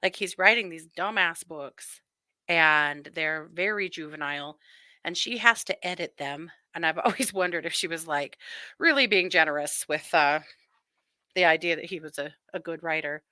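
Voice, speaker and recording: Bright-voiced woman hosting, wry and casual. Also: deep voice, normal speed, dry recording. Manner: wry, mocking. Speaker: host. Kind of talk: casual true crime commentary.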